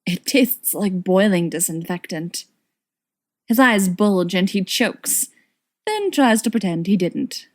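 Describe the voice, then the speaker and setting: A woman's voice: medium pitch, sing-song, slow pace. A woman reading a story aloud as scripted narration, in the manner of an audiobook reader.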